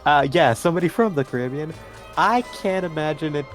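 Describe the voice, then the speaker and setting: A man's voice: on the high side, expressive, a little fast, soft background music. A man from Trinidad with a mixed Trinidadian and New York accent, chatting casually on a stream and laughing often. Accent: Trinidadian and New York mix.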